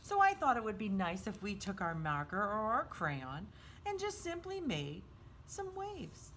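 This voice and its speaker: Casting: female children's craft host, bright tone, very lively sing-song delivery, simple step-by-step instruction, slight background noise. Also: medium pitch, measured pace. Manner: sing-song, simple child-directed explaining, often refers to self in third person.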